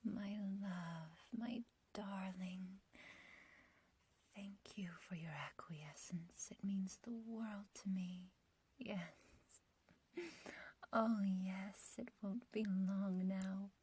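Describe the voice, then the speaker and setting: A woman's voice: medium pitch, fairly even intonation, extremely slow, pauses often, darkish tone, exaggerated voice. A woman playing an ancient but youthful witch in a whispered ASMR roleplay, obsessively loving and possessive, with archaic, intimate phrasing. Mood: adoring, possessive. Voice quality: breathy, whispery.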